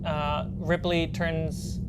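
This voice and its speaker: Low-voiced woman running a tabletop game. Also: level tone, very slow, very noisy. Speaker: game master. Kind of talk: narrating a tabletop role-playing game. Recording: loud background music under the voice.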